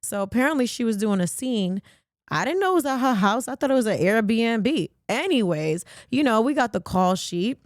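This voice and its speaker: A woman's voice: fairly high pitch, lively intonation, normal speed, dry recording, studio quality. Brash, sassy woman podcast host. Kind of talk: casual gossipy talk.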